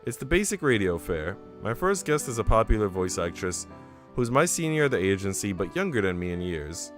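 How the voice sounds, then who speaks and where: An earnest, self-doubting young woman tells her own visual novel story in a man's fairly low voice. The reading is reflective and storybook-like, with faint music underneath. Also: big pitch swings, a little fast. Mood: proud, reflective. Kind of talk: visual novel narration read aloud. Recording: music behind the voice.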